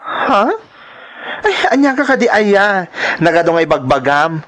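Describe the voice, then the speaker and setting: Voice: mid-range voice, very animated, a little slow, a bit bright. A performer with an Ilocano accent playing a sassy, bossy, evasive transgender woman in an audio drama, flamboyant and scolding. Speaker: voice actor in a radio-style drama.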